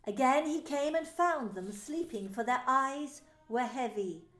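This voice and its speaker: Expressive woman narrating a Bible story. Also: high-pitched, a little slow. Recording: echoey room with faint music.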